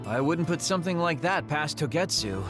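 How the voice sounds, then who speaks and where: A man's voice: fairly high pitch, expressive, normal speed, music in the background, natural voice. A man voicing a flippant, shady lone wolf in a video game, with a wry and breezy manner. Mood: skeptical. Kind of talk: English video game voice acting.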